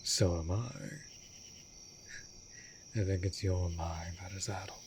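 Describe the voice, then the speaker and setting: Slow, low-voiced courtly vampire acted by a man. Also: flat delivery, background noise. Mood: tender, teasing. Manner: slow, formal, hushed, tender speech. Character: wounded, courtly male vampire, tender and protective. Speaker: voice actor in a romantic audio roleplay. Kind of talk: intimate fantasy audio drama acting, often whispered.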